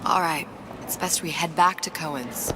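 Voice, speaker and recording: A woman's voice: low-pitched, normal speed, very noisy, close to an everyday voice. A young woman playing a poised, shrewd femme fatale in a film noir video game, articulate and formal. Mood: businesslike.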